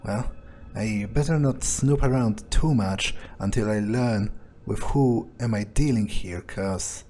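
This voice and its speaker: A man's voice: on the low side, takes it slowly, mellow, slight background noise. A man role-playing a cocky, foul-mouthed fledgling vampire in improvised video game commentary, muttering hesitantly and swearing casually. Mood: musing, curious. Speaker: game streamer role-playing his character. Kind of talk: in-character improvised gameplay commentary.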